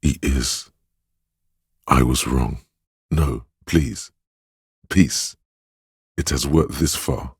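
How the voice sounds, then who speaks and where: A man voicing a grave, compassionate inner voice of empathy, narrating a game in a measured, intimate, solemn way. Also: no echo, studio quality, heavily acted. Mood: solemn, tender, then calm, pleading. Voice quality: very deep, velvety, husky. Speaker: game voice actor. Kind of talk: scripted second-person game narration.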